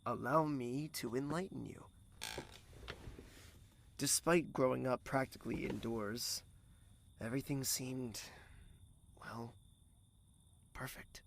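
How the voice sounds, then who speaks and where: A man playing a courteous, regal young prince in love in an audio drama, gentle and formal, with the odd French phrase. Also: mid-range voice, slow pace, gravelly, long pauses, slight background noise. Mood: earnest, calm.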